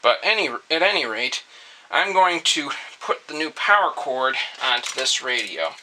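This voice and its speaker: A man's voice: on the high side, background noise. A man casually demonstrating a hands-on repair, thinking aloud as he works. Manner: thinking aloud while working. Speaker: host of an antique radio repair video.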